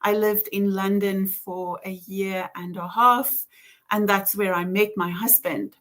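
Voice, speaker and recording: A woman's voice: medium pitch, steady tone, unhurried, fairly bright tone. A woman with an Afrikaans accent, a native Afrikaans speaker from South Africa, telling her faith story in an earnest, reflective way with many ums and small laughs. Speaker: interview guest. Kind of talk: personal faith testimony.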